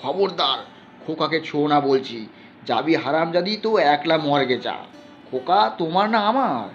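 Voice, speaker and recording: A man's voice: on the high side, lively intonation, unhurried, lo-fi sound, soft background music. Man acting a hot-tempered, bullying village husband. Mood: furious. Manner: harsh, barking threats and insults.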